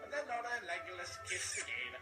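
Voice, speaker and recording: A man's voice: high-pitched, sing-song, fast talker. A man giving a mock-dramatic reading of an amateur fantasy story. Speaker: reader of fan fiction. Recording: echoey far-off playback with music behind. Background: music.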